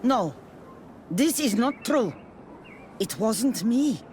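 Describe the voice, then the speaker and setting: Slow-spoken, guarded village mother. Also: a little high, expressive, darkish tone, slight background noise. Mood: defensive. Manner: deliberate, plain-spoken. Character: guarded, protective village mother, earnest and worried. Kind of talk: video game dialogue acting.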